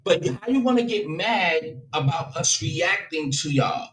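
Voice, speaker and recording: A confrontational man with a notably high voice, arguing hard on a live stream. He hammers his points through repetition and sharp bursts. The sound is roomy and echoey. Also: animated, unhurried. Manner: confrontational, repeats himself, rising in bursts. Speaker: livestream host. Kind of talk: heated debate on a live stream.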